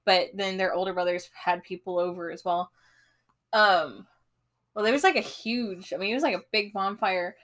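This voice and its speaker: A woman's voice: medium pitch, normal speed, a bit bright. A young woman in casual podcast chat, rambling with lots of fillers and laughing often.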